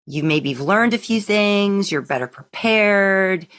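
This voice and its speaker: A woman podcast host in casual conversation, telling rambling, animated stories. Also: medium pitch, unhurried. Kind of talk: casual chat.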